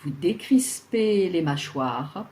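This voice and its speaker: Slow, mellow woman guiding deep relaxation. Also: expressive. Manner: soothing, measured, with long pauses between phrases. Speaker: yoga nidra instructor. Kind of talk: guided relaxation meditation, scripted instruction.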